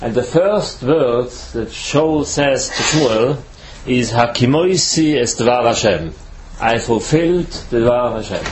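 Swiss rabbi giving a torah class, english not his first language, slow and careful, mid-pitched voice, faint hum behind. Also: lively intonation, rough recording. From Switzerland. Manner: halting non-native English, slow careful explanation. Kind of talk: Torah lecture.

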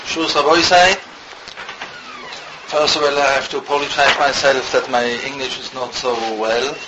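Rabbi from Switzerland, halting second-language English, reads Hebrew fast; muffled recording with loud hiss. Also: male voice, medium pitch, little pitch movement. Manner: halting non-native English, rapid Hebrew reading. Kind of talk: Torah lecture, reading Hebrew text then translating.

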